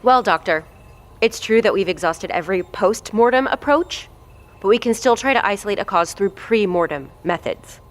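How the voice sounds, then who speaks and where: A woman's voice: mid-range voice, lively intonation, normal speed, close to an everyday voice. An actress playing a blunt, foul-mouthed woman who heads a research facility, talking in a commanding way in an audio drama. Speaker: facility head.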